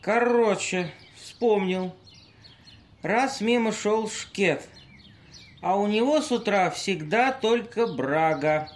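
A man playing a drunken, pompous homeless man in an amateur audio play, halting and stumbling over long words. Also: sits high. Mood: tipsy, earnest.

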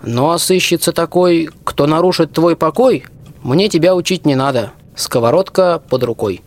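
A man's voice: fairly high pitch, moderate pace, natural voice. A young male student playing a plucky, reassuring archer husband in a rhymed folk-tale radio play. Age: young adult. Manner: folksy rhymed declaiming.

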